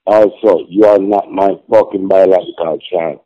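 A man's voice: low-pitched, fairly even intonation, moderate pace. A middle-aged man leaving a hostile, profane, insulting rant as a voicemail message. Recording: dull lo-fi voicemail playback.

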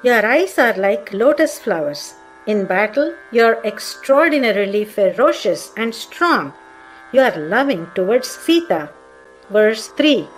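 A woman's voice: on the high side, lively intonation, a little slow, poor audio. A woman giving a scripted devotional recitation of verses and their meanings. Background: faint Indian classical music.

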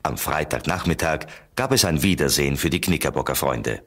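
A man's voice: extremely low pitch, expressive, a little fast, a bit bright, faint music behind, full character voice. A man narrating a children's detective audio drama as the storyteller.

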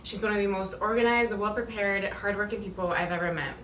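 A woman's voice: mid-range voice, brisk, a bit bright. A woman gives a sincere video tribute. She sounds somewhat distant from the mic in an echoey room, with faint noise behind her. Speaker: school staff.

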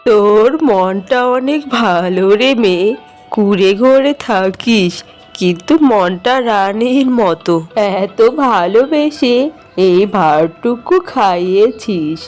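A woman voicing a weary, kindly old beggar woman in a fairy-tale cartoon, speaking in a drawn-out, plaintive way. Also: mid-range voice, a little mellow, pitched below the performer's own voice, natural voice. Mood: grateful, content.

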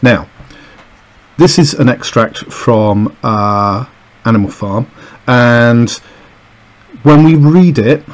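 A man's voice: medium pitch, dull audio. A man teaching a recorded lesson, explaining an extract with many fillers and "okay"s as he annotates it. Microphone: voice-over over a camera filming a page on a desk.

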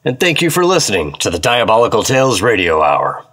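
Low-pitched man, scripted show host. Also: wildly swooping pitch, a little fast, a bit bright, slightly rough. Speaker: show's lead actor and host. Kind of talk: scripted listener address.

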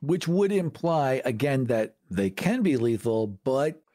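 A man co-hosting a casual discussion of tabletop gaming in a measured, analytical way. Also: medium pitch, big pitch swings, mellow. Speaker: podcast co-host.

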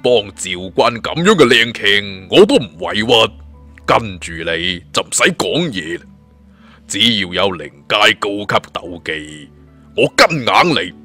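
A man voicing an eager, greedy bandit underling in an audiobook, excited, boastful and fawning. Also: fairly low pitch, a little slow, faint music behind, exaggerated voice. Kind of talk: audiobook character voice.

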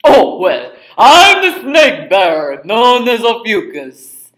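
A man's voice: high voice, wildly swooping pitch, slow pace, a bit bright, exaggerated voice. A man voicing Ophiuchus, a clumsy, touchy bearded Greek man who carries a snake, in a cartoon. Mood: chatty. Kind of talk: cartoon voice acting.

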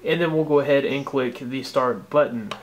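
A man's voice: medium pitch, moderate pace, slight background noise. An American man narrating a casual step-by-step tutorial as a tech host, explaining things informally.

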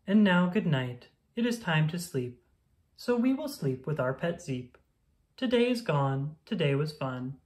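A woman reading a rhyming children's picture book aloud in a playful, sing-song, expressive manner. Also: deep voice, a little slow.

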